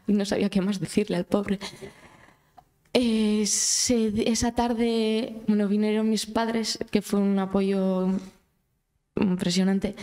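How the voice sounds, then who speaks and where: A woman in her thirties whose first language is Basque, speaking with a Basque accent into a handheld mic in a conference room, telling a personal story in halting speech with many pauses. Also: mid-range voice, darkish tone. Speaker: audience member sharing her story. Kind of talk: emotional personal testimony. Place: conference room of a cultural centre. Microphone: handheld microphone.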